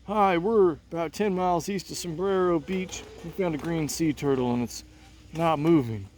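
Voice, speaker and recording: An easygoing boat dad, played by a male reader, friendly and laid-back with a raised pitch. The play reading has clear noise behind it. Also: moderate pace, darkish tone, close to an everyday voice. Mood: concerned. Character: Freddie, easygoing helpful boat-owning dad.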